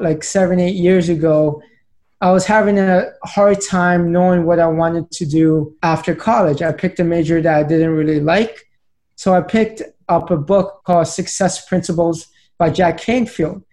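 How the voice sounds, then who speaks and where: A man's voice: sits high, fairly even intonation, unhurried, a little mellow. A man in his late twenties, a podcast guest telling his story in an earnest, plain way. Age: late 20s. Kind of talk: conversational storytelling.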